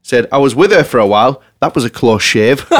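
A man's voice: mid-range voice, a bit bright, breathy edge. A man hosting a casual comedy podcast, reading out listener submissions and often breaking into laughter as he goes.

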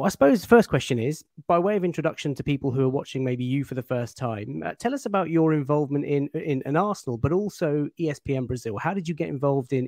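Measured male football show host. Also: mid-range voice, a little mellow, no room sound, studio quality. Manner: measured, introducing and asking questions. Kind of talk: presenting and interviewing.

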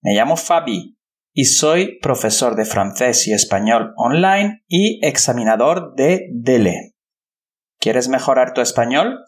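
A man from Andalusia with a Spanish accent from Spain, hosting a language-learning podcast and speaking in a clear, instructional way. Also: medium pitch, expressive, unhurried. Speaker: podcast host and language teacher. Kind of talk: scripted podcast introduction and interviewing.

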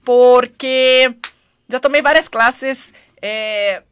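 A woman's voice: high voice, very slow, fairly bright tone, clean tone. A woman from Brazil with a Brazilian Portuguese accent, hosting a playful, joking casual chat. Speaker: host.

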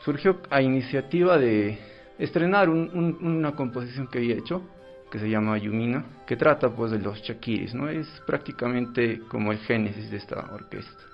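A man's voice: mid-range voice, moderate pace. A man with a Peruvian accent, an orchestra director answering informally as an interview guest. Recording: dull lo-fi sound, faint music.

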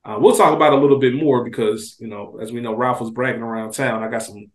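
A man's voice: mid-range voice, steady tone, fast talker. A man co-hosting a podcast, talking casually and emphatically with swearing and acted-out quotes. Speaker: podcast co-host. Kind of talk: casual TV discussion. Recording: roomy echo on the voice.

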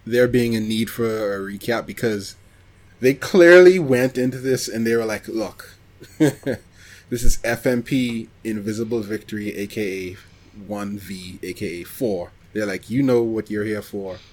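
Male podcast co-host, fairly low voice, loose conversational delivery with halting, searching pauses. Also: darkish tone. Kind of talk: casual chat about anime.

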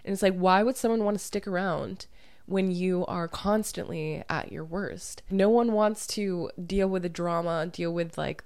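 A young woman hosts her podcast with a hoarse, croaky voice, as she has lost part of it to illness. Her tone sits low and gravelly, recorded dry and close with no room sound. She talks candidly and casually, swearing now and then. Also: darkish tone, dry recording. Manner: candid, swears freely, laughs easily. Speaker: podcast host and online dating coach. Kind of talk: casual solo podcast chat.

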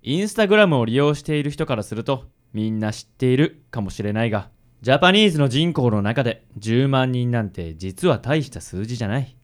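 Radio comedy role: suave cool gentleman played by a woman, extremely low voice, English loanword flourishes, reassuring mentor manner. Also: animated, brisk. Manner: smooth, affected, peppered with English words. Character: suave, cool man nicknamed Handsome, mentor to a boy. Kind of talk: comic radio drama acting.

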